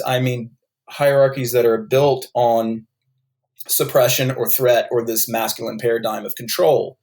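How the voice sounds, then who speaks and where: A man with a North Carolina accent, a guest author explaining his ideas in a podcast interview, thoughtful and full of restarts. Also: mid-range voice.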